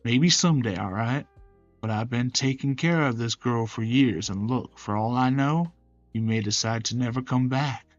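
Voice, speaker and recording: A man's voice: medium pitch, moderate pace, dry recording, lo-fi sound, faint music behind, close to an everyday voice. A man voicing a courteous, protective male caregiver in a visual novel, with a folksy manner. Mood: earnest, protective.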